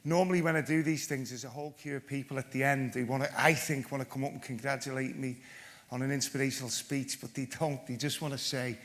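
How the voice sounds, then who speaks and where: A man's voice: mid-range voice, fairly even intonation, quick pace, a bit bright. A man giving a conference talk, telling his own story in a blunt, self-deprecating and joking way. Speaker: panel speaker, former PE teacher.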